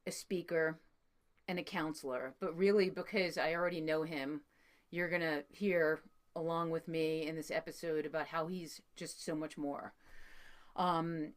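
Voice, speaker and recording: A woman's voice: sits low, steady tone, moderate pace. A middle-aged woman with a New York accent hosting an interview, speaking earnestly and effusively with many fillers. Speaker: podcast host. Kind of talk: interview introduction and chat.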